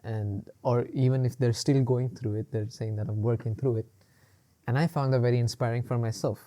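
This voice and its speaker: Male podcast co-host, mid-pitched and mellow, loose rambling chat full of fillers and laughs. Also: fairly even intonation, a little fast. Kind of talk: casual chat.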